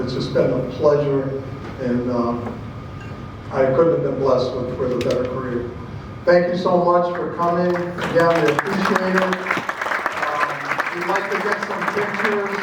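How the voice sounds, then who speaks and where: Flat-voiced coach amid crowd chatter. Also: male voice, medium pitch, noisy background. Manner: grateful, plain, monotone. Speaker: baseball coach. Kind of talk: thank-you speech and announcements. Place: banquet room. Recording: echoey room, distant mic, crowd chatter.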